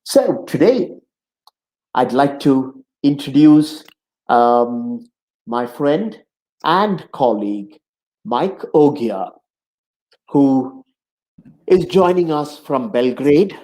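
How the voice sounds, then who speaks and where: A man in his sixties hosting a conversation show, speaking in an earnest, measured way from his home office. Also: mid-range voice, sing-song, mellow, poor audio. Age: 60s. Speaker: host. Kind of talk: hosted conversation opening monologue.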